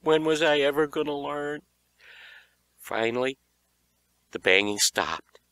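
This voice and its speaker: A man reading a young horror story as an audiobook narrator, with an animated, dramatic delivery. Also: fairly high pitch, slow, smooth, no echo.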